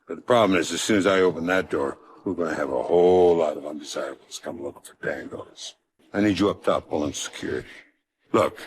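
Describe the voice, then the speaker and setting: Very deep, husky, grizzled covert agent. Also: male voice, full character voice. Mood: terse, businesslike. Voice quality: gravelly, husky. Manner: deadpan, quietly threatening. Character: grizzled veteran male covert agent, menacing, sardonic, dry-witted. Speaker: video game lead character. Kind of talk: dramatic video game acting.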